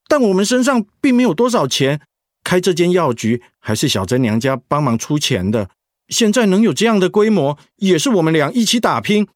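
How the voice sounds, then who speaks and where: An actor playing a troubled, torn middle-aged pharmacist in a radio drama. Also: male voice, on the high side, no echo, studio quality.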